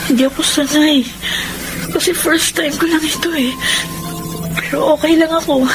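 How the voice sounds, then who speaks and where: Casting: woman playing humble, embarrassed young woman for radio drama; highish, soft, hesitant voice with music behind. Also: steady tone, moderate pace, lightly acted, low-quality recording. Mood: apologetic, embarrassed. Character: shy, desperate young woman, humbly apologetic. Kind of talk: Filipino radio drama acting and narration.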